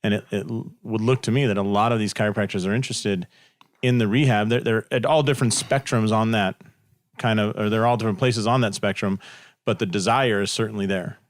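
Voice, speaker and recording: A man's voice: lowish voice, fairly even intonation, a little fast, no echo, clean studio sound. A man hosting a podcast, chatting casually and teasing his guest in a playful way. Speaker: podcast host and interviewer. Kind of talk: casual candid interview chat.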